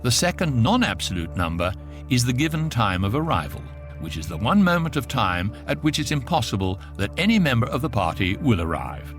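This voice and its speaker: An actor playing the dry, knowing narrator of an electronic guidebook in a radio drama, lecturing with a straight face. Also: male voice, medium pitch, big pitch swings, a little fast, a bit bright, faint music behind. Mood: wryly informative. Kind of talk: scripted narration.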